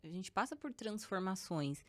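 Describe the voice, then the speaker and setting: A woman's voice: mid-range voice, normal speed. A Brazilian woman in her thirties, a guest co-founder chatting casually on a podcast and telling stories with acted-out dialogue. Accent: Brazilian Portuguese. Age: 30s. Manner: lively storytelling, acts out dialogue, frequent laughter.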